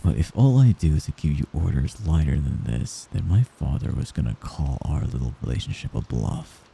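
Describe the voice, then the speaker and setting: A man playing a young mafia heir in an intimate ASMR roleplay, a gentle, hesitant and possessive friend who gives orders apologetically. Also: extremely low pitch, animated, vocal fry, slight background noise, exaggerated voice. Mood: shy, smitten. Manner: soft-spoken, halting, apologetic while giving orders.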